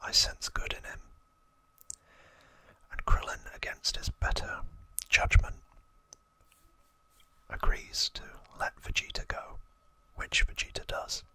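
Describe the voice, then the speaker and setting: An ASMR host explaining trivia off the cuff in a hushed, breathy, unvoiced whisper. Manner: slow, hushed, unscripted explaining.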